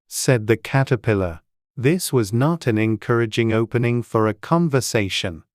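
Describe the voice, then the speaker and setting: Storybook narrator, mid pitch, measured n deliberate, dry recording. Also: animated, no room sound. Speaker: audiobook narrator.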